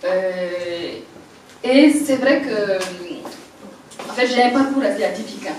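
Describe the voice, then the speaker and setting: A woman's voice: mid-range voice, measured pace, slight background noise. A woman in her forties with a Burkinabe French accent, telling her life story with laughter and frequent hesitations. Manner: animated, laughing, many hesitations. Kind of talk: personal life story talk. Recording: roomy, distant mic, faint ambience.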